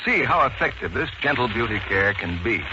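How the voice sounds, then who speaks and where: Animated 1940s radio announcer, a man. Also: medium pitch, brisk, noisy background. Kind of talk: 1940s scripted radio advertising. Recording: dull 1940s radio broadcast recording.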